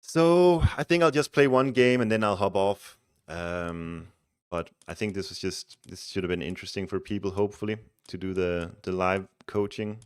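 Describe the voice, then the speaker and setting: A Danish-accented man with a deep voice talks loosely through a live stream, explaining strategy as he plays. He is relaxed and chatty and often restarts his words. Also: moderate pace, clear, resonant tone. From Denmark. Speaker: streamer and game coach. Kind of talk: casual live-stream chat and game commentary.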